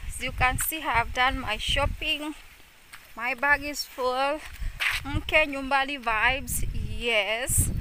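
Slow-talking Kenyan woman vlogging outdoors. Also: high-pitched, background noise. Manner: slow, chatty, addresses viewers as guys. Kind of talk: walking vlog chat. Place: rural roadside outdoors. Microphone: phone held at arm's length.

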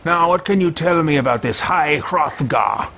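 A man's voice: fairly high pitch, animated, moderate pace, sharp tone, a little hiss or hum. A man in his thirties roleplaying a haughty, pompous character over gameplay, talking into a headset at home. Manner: pompous, theatrical, sly asides. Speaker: let's play host voicing his character. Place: home room with bookshelves.

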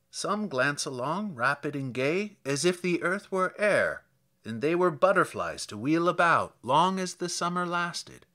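A man reading as grumbling old country priest, slow-paced. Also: on the high side, bright, forceful tone, heavily acted. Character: homely old country priest, grumbling about idle tourists.

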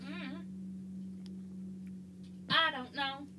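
A woman's voice: sits high, fairly even intonation, very slow, bright, forceful tone, slight background noise. A woman co-hosting a reaction video, adding short casual asides from off to the side. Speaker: reaction co-host.

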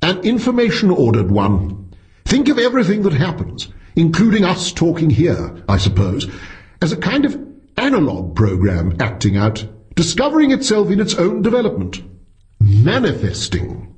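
A man voicing a pompous, self-assured philosophy professor with a deliberate, lecturing manner in an audiobook story. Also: on the high side, huge pitch swings, a little mellow, pitched above the performer's own voice. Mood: kindly. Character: pompous, triumphant male philosophy professor, sternly sure of himself.

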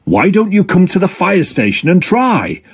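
Man playing a friendly firefighter dog, raised pitch, lively intonation, slightly husky, encouraging storybook hero. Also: moderate pace, heavily acted. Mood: encouraging, proud. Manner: clear, instructive, emphatic. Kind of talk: children's storybook character reading.

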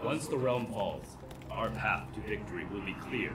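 An actor playing a grim undead warlord in a video game, stern and commanding. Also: male voice, fairly low pitch, lively intonation, moderate pace, very noisy, close to an everyday voice. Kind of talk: dramatic video game acting. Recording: dramatic music loud behind the voice.

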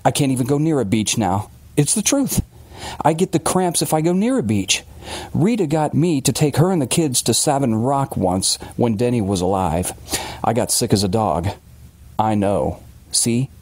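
A man's voice: medium pitch, lively intonation, normal speed, darkish tone, no room sound, pitched above the performer's own voice, close to an everyday voice. A man playing an agitated, defensive working-class father confessing a horror in blunt, colloquial words, as in an audiobook. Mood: defensive, bitter.

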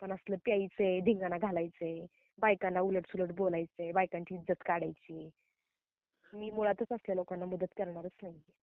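A woman's voice: on the low side, steady tone, normal speed, dry recording. A woman with a Maharashtrian Marathi accent, scolding emphatically and indignantly in a heated phone argument. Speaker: prank call recipient. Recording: narrow tinny phone-call recording.

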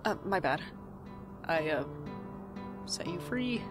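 A woman's voice: fairly low pitch, lively intonation, slow, over music. A woman playing a wry, hapless twenty-five-year-old in an audio drama, self-deprecating, with dry asides and an anxious streak. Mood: apologetic. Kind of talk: audio drama narration and dialogue.

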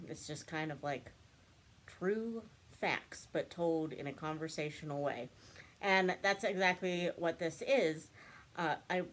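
A young woman chatting casually to camera in a small room, stuffed up with a cold, rambling and laughing often. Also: sits low. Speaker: book and craft vlogger. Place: small room indoors, seated before a cabinet.

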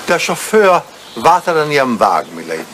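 An older man playing a devoted elderly butler in a German radio play, polite and formal with quiet, dry impertinence. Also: medium pitch, big pitch swings, brisk, slight background noise. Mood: deferential. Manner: polite, formal, dryly disapproving.

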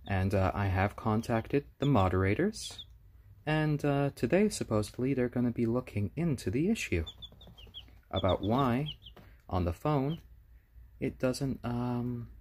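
A man hosting a casual live stream at home on his phone, speaking gently to viewers and to baby chicks. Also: mid-range voice, a little hiss or hum. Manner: gentle, soft-spoken, talks to chat and chicks. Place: home room. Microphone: handheld phone.

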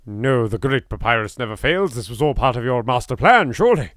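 A man's voice: medium pitch, animated, a little fast, a bit bright, a little hiss or hum. A man with an English accent giving hammy, bantering, mock-posh commentary as a gaming host. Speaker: let's play host.

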